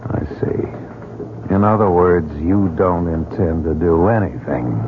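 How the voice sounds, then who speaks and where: A man's voice: low-pitched, lively intonation, takes it slowly, noisy background, exaggerated voice. An actor playing a worried man on the run who talks in an urgent, earnest way, in a radio drama. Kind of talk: 1970s radio drama acting. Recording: tinny old radio broadcast with music.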